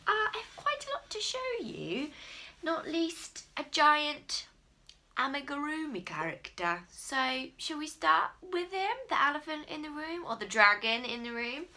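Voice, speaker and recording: This is a croaky-voiced English woman in her thirties, talking straight to the camera at home. Her voice is bright and lively, with a somewhat high pitch, and she chats in a friendly way with lots of ums. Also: unhurried. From England. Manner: chatty and friendly, many ums. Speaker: crochet podcast host. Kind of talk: casual solo video podcast.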